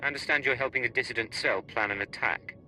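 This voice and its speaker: An actor playing a cold, curt imperial intelligence agent in a video game, clipped, menacing and businesslike. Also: male voice, mid-range voice, fast, bright, forceful tone, faint music behind, poor audio. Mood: dry, curt. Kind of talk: video game cutscene acting.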